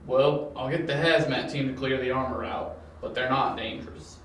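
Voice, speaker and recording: A man's voice: medium pitch, steady tone, normal speed, fairly bright tone, close to an everyday voice. A man playing a skeptical, matter-of-fact police officer in an amateur audio drama. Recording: very echoey, distant, faint noise.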